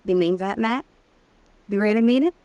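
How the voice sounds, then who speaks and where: A woman's voice: medium pitch, moderate pace, warm tone, dry recording, natural voice. A woman playing a tough, guarded drifter in an old-time radio western, with a terse, probing way of talking. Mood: wary, wistful. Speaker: radio drama actress. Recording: vintage radio drama recording.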